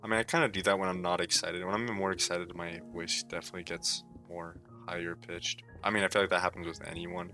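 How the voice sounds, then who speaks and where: Tired low-voiced young man streaming. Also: level tone, fast talker, hardly any pauses, sharp tone, soft background music. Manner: laid-back, low and mumbly, lots of "like" fillers. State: tired, sore throat. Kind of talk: casual rambling stream talk.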